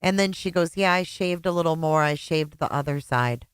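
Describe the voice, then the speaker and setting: A woman giving casual reaction commentary on old interview clips, chatty with quick asides. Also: sits low, clean tone, no room sound.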